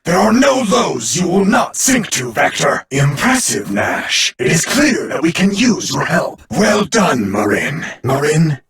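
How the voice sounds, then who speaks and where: A noble warrior of an otherworldly realm speaks in a gravelly voice that swings widely in pitch. He is loyal and solemn, delivering formal, earnest declarations in the grand style of an anime card game. His tone is high for a man and rough around the edges. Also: fairly bright tone, full character voice. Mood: angry, commanding.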